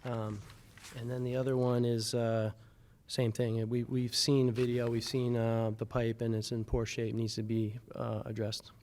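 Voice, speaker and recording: A man who works for the city explaining repairs at a public board meeting in a measured, matter-of-fact way with many fillers. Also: mid-range voice, level tone, darkish tone, slight background noise.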